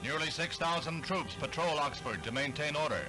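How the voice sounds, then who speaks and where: A man announcing an old newsreel in a brisk, formal style. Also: on the high side, animated. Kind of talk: vintage newsreel narration. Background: music.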